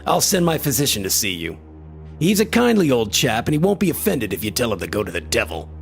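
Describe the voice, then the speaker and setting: A male actor playing a concerned, skeptical gentleman who also narrates a horror radio play in a measured, formal way. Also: mid-range voice, very animated, creaky, faint music behind. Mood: disdainful concern.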